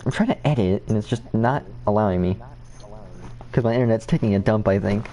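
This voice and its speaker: Low-voiced bossy male streamer. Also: brisk, dark tone, slight background noise. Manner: bossy, teasing, laughing. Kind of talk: casual voice chat.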